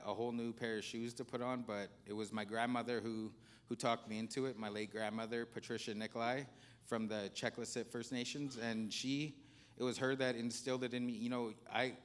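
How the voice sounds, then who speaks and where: Earnest, low-voiced man on a panel. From Canada. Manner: earnest, halting, repeats words. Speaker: panelist, economic development officer. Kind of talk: conference panel remarks. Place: large conference hall stage.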